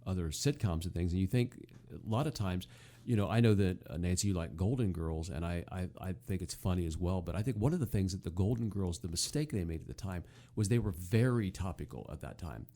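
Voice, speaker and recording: A man's voice: sits low, lively intonation, brisk, warm tone. A man hosting a casual chat about classic TV comedy, talking in a rambling, enthusiastic way. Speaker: podcast host.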